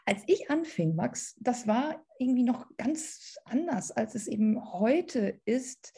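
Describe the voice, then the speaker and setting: A woman's voice: on the high side, expressive, takes it slowly, dark tone, breathy edge. A woman in her mid-thirties hosting a podcast and interviewing in a conversational way. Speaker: podcast host.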